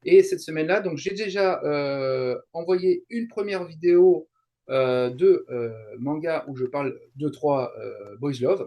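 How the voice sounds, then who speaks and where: Man, slightly high voice, interview guest, casual, halting, explanatory, frequent rephrasing. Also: warm tone. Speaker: interview guest, YouTuber and translator.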